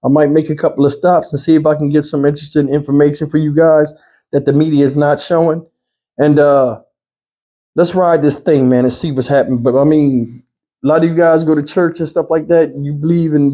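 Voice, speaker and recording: Male online commentator, high but soft-edged voice, speaking off the cuff with pleading exasperation. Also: fairly even intonation, normal speed. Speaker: YouTube news commentator. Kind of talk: off-the-cuff opinion commentary to viewers.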